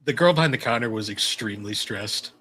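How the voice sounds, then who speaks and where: A young man with a low voice, co-hosting a podcast in casual chat, laid-back and chuckling through his stories. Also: animated, a little fast, sharp tone, a little hiss or hum. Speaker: podcast co-host.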